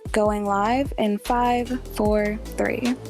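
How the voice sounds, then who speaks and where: A woman's voice: medium pitch, fairly even intonation, extremely slow, a little mellow, faint music behind. A woman playing a curt, businesslike radio producer in audio drama dialogue, matter-of-fact.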